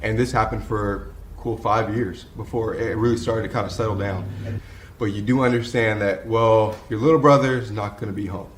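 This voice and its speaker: A man's voice: on the low side, steady tone, normal speed, reverberant, slight background noise. A man in his twenties, reflective and earnest, sharing his family's story in a testimonial interview. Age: 20s.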